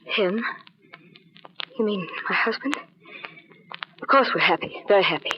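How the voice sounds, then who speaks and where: An actress playing an anxious young wife in an old radio drama, melodramatic and full of breathy hesitations. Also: female voice, mid-range voice, lively intonation, measured pace, close to an everyday voice. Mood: guarded, wistful. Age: young adult. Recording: muffled, noisy old radio recording.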